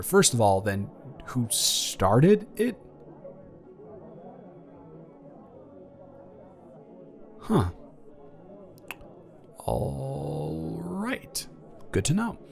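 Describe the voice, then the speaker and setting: A young man playing a flustered, awkwardly tender ex-boyfriend in an improvised romantic audio roleplay, halting and stammering as he rambles and corrects himself. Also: medium pitch, vocal fry. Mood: amazed, curious. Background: background music.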